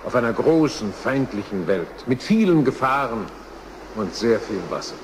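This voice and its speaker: A man's voice: mid-range voice, sing-song, moderate pace, background noise, close to an everyday voice. A man playing a wry storyteller, narrating a children's radio play in a dramatic, scripted manner. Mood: wry, explanatory. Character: wry, unhurried male storyteller.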